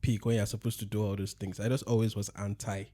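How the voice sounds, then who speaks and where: A man's voice: fairly low pitch, flat delivery, quick pace, mellow, no echo, clean studio sound. A man, speaking reflectively with lots of "like" in a casual podcast chat. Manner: reflective, heavy use of like. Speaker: podcast co-host.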